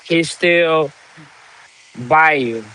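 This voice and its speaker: Slow, preachy man. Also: on the high side, sharp tone, smooth, rough recording. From Dominican Republic. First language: Spanish. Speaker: livestream host. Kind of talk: motivational live-stream talk.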